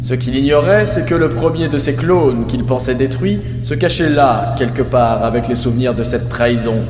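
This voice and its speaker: A man's voice: medium pitch, normal speed, darkish tone, natural voice. A man playing a solemn, vengeful disembodied brain who leads clones, speaking grandly and ceremoniously in a comedic audio drama. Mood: solemn, menacing. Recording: cavernous hall reverb, distant, music behind.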